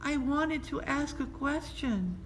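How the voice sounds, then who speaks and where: A woman's voice: sits high, animated, slow pace, voice pushed up. A woman voicing a small, earnest, wondering girl in a storybook, with hesitant, questioning speech. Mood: earnest, pleading. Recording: clearly noisy background ambience.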